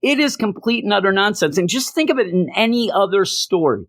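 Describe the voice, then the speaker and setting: Exasperated hoarse man ranting. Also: high-pitched, lively intonation, fairly quick, a bit bright, no echo, clean studio sound. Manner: exasperated, repeats himself for emphasis. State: hoarse, losing his voice. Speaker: comic book reviewer. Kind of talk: ranting review monologue.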